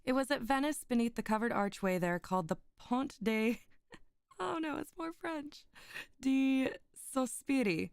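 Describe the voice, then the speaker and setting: A young woman streamer, a little hoarse, chatting with viewers and then reading classic prose aloud with giggles and small stumbles. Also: sits high, animated, unhurried, no echo, clean studio sound. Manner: giggly, chatty, stumbles over foreign words. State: slightly rough, hoarse voice. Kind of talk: casual chat and literary reading aloud.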